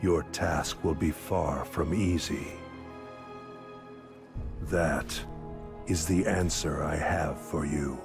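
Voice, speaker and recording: An actor playing an ominous, cryptic old master in a video game, slow and foreboding. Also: male voice, extremely low pitch, steady tone, creaky, music in the background, exaggerated voice.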